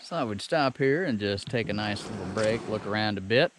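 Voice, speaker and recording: A man's voice: on the low side, expressive, brisk, noisy background. A man in his thirties vlogging casually and enthusiastically while paddling a kayak on a creek, filmed on a boat-mounted action camera. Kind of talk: casual outdoor vlog narration. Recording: loud music over outdoor audio. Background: loud music.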